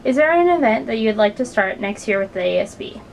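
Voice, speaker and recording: A young woman interviewer reading brisk, scripted questions. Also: on the high side, expressive, creaky, a little hiss or hum. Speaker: student interviewer.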